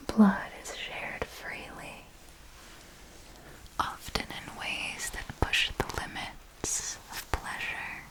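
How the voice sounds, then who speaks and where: Woman playing a slow whispering vampire mentor. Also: medium pitch, poor audio. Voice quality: soft breathy whisper. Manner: slow, deliberate, formal, intimate. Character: elegant vampire mentor, seductive, secretive, patient. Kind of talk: ASMR roleplay, scripted monologue.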